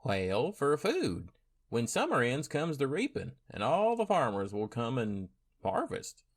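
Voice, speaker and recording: A man's voice: medium pitch, huge pitch swings, a little slow, exaggerated voice. A man playing a simple, friendly farmer in a fantasy audio drama, with folksy rustic speech. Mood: puzzled.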